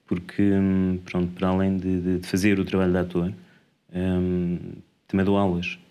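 A man in his thirties, an actor and teacher, answering interview questions thoughtfully, with many pauses and fillers, on a lapel mic. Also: deep voice, completely flat delivery, warm tone, clear, resonant tone. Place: TV studio set.

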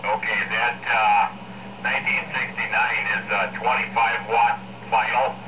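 A man chatting casually and haltingly over CB radio, giving advice to another hobbyist. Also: medium pitch, steady tone. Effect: CB radio transmission. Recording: CB radio speaker, very loud static.

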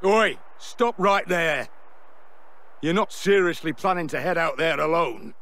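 An actor playing a blunt, no-nonsense, exasperated comrade in a video game cutscene. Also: male voice, fairly high pitch, unhurried, a bit bright. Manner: blunt, colloquial.

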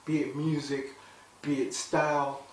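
A man's voice: fairly high pitch, measured pace, a little hiss or hum. A young man from Zimbabwe, a clothing brand founder, chatting in a sit-down interview with plenty of fillers and restarts. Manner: animated, many fillers and restarts. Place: indoor room, seated on a sofa. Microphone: far camera mic. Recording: echoey room, voice from a distance.

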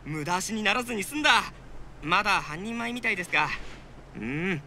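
Pushy excitable young pilgrim, game style, high voice that swings all over. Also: male voice, brisk, a little hiss or hum, full character voice. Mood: eager, fawning. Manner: fawning, brash, theatrical.

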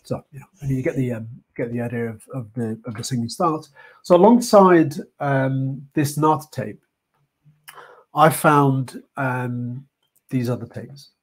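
A man's voice: mid-range voice, a little slow, rough recording. A middle-aged man with a British accent giving an informal slide presentation over a video call, thinking aloud with frequent hesitations. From United Kingdom. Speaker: artist and project leader presenting a heritage talk. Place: indoor room, online video call.

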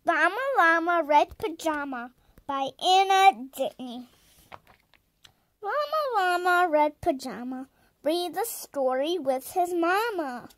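A seven-year-old girl reading a children's story aloud in a deliberate, sing-song, emphatic way. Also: extremely high pitch, expressive, no room sound. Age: child, seven years old. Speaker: storyteller reading a picture book.

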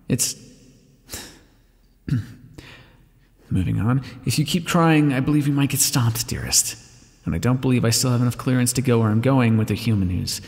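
Man acting a desperate vampire scientist, creaky and dramatic. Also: mid-range voice, darkish tone. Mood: flustered, soothing. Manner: dramatic, formal wording, often dropping to a whisper. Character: desperate, sardonic male vampire scientist, protective of his captive. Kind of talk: ASMR roleplay voice acting. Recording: hall-like reverb with clear background noise.

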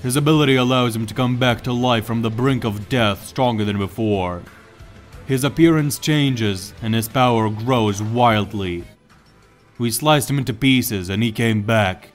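A man's voice: mid-range voice, measured pace, soft background music, natural voice. A man playing a grave, battle-worn samurai hero with an earnest, warning manner in a fan audio drama.

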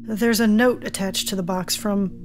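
A woman's voice: medium pitch, fairly even intonation, normal speed, faint music behind, close to an everyday voice. A woman playing a determined, defiant character in an audio drama. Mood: curious, intent. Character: determined, defiant woman breaking free of illusion.

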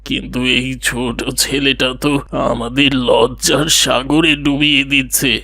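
A man playing a proud, quarrelsome older neighbour in a dramatic cartoon, with a gravelly voice. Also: medium pitch, little pitch movement, moderate pace, bright, forceful tone, no room sound, clean studio sound. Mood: uneasy, ashamed.

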